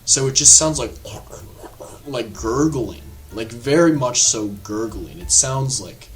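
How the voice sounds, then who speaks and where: An animated man talks through a horror film in a loose, rambling way, tossing in fillers and asides as he goes. His voice sits at an ordinary middle pitch. Soft music plays faintly under him. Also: slow. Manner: animated, rambling, lots of filler words and laughs. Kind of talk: casual spoken film review.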